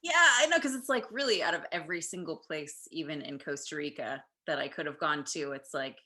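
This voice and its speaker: A woman's voice: low voice, expressive, a little fast, bright, forceful tone. A woman, hosting a casual interview with enthusiastic, slangy talk. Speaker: interview host. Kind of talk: casual chat.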